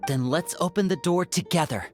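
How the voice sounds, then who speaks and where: A woman's voice: fairly low pitch, moderate pace, natural voice. A woman voicing an earnest, questioning young adventurer in an anime video game, with music behind her.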